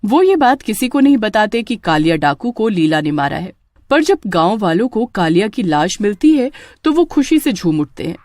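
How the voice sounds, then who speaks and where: A woman narrating a scripted story as an expressive storyteller. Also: medium pitch, moderate pace, dry recording.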